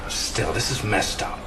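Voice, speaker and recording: An actor playing a cynical, hot-headed, loyal male soldier who talks in a colloquial, exasperated way in a video game cutscene. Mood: exasperated, sardonic. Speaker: military officer character. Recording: noisy game cutscene audio.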